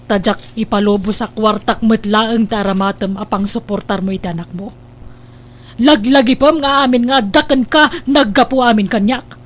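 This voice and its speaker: A woman's voice: fairly high pitch, moderate pace, close to an everyday voice. Bitter, scornful betrayed wife played by a woman. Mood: scornful, angry. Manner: scornful, emphatic. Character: betrayed, embittered wealthy wife confronting her husband. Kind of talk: Filipino radio drama acting.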